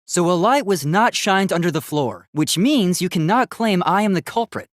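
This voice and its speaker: A man's voice: sits high, lively intonation, moderate pace, dry recording, clean studio sound. A man voicing an earnest, polite young robot student in a video game, with formal, precise, logical speech that gets easily flustered.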